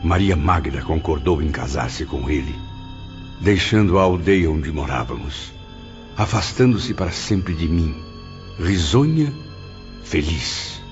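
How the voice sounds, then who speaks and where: A man's voice: extremely low pitch, lively intonation, slow, full character voice. A man playing a bitter, vengeful man who confesses his obsessive past in a dramatized audiobook, with dramatic, rancorous delivery. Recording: dull lo-fi audio under loud music. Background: music.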